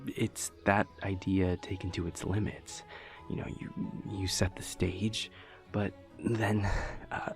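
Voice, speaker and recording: A young man playing a dorky, earnest boyfriend in an intimate audio roleplay, rambling hesitantly and nerdily with playful self-deprecation. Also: low voice. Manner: hesitant, rambling, nerdy explaining.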